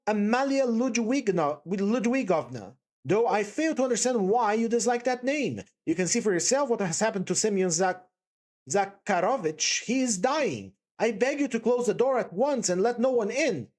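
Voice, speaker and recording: A man voicing a desperate, proud impoverished woman who speaks quickly and in a high-strung, pleading way. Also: darkish tone.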